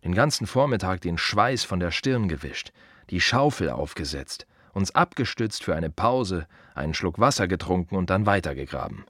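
An actor playing a plain-spoken, wry gravedigger in a radio play monologue, confiding in short halting phrases with dry irony. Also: male voice, low voice, very animated, a bit bright, no room sound, heavily acted. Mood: matter-of-fact, wry.

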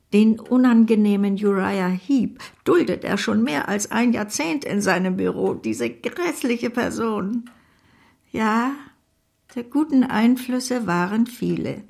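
An actress playing a brisk old great-aunt, strict but fond, with a creaky voice and formal manner in a literary radio drama. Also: female voice, mid-range voice, darkish tone. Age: older. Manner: formal, decisive.

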